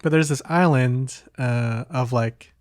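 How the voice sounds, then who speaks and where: A man with a mid-pitched voice talks casually, like a podcast host. He feels his way through thoughts aloud, rambling and hesitant, in a very dry recording with no room sound. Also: takes it slowly, darkish tone, no room sound. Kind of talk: casual chat.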